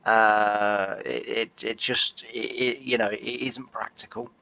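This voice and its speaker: A man's voice: fairly low pitch, level tone, slow pace, creaky, pushed and bright, highs cut off. A man, nasal from a cold, rambling through casual gameplay commentary with many fillers. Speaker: gameplay host.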